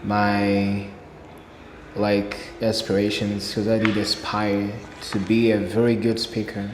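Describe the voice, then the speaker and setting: Low-voiced man from Burundi, echoey room. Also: completely flat delivery, slow pace. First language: Kirundi. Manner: earnest, repeats key phrases for emphasis. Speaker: interview guest, English learner. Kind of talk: casual interview answers. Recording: echoey room with long reverb, faint noise.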